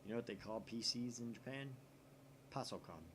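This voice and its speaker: A man's voice: mid-range voice, fairly quick, background noise. A man from the United States hosting a casual let's play, reading a visual novel aloud with jokey, opinionated asides.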